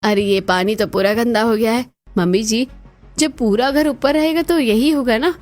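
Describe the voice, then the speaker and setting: A woman playing put-upon, chatty daughters-in-law in a cartoon story, with colloquial, expressive delivery. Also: a little high, normal speed, no room sound, soft background music, lightly acted. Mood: sarcastic, fed up.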